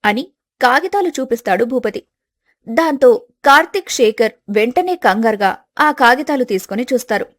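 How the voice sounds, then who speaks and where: A woman's voice: a little high, animated, moderate pace, no echo. A woman reading scripted dramatic narration as a storyteller.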